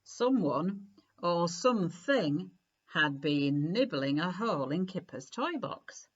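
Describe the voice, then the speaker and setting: Woman, children's story reader, slow pace, expressive, dramatic emphasis, slightly dull recording. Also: fairly low pitch. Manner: deliberate, clearly phrased, dramatic emphasis.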